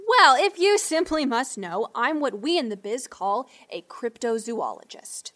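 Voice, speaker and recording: A woman's voice: on the high side, sing-song, measured pace, a bit bright. A woman playing an indignant, self-important cryptozoologist in an audio drama, with a defensive, sarcastic, wordy manner. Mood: haughty, defensive.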